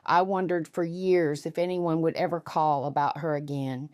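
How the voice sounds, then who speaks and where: Woman doing an old retired army colonel in a story, slow, deliberate, solemn. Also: low-pitched, fairly even intonation, a little mellow, clear, resonant tone, dry recording, clean studio sound, natural voice. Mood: reflective.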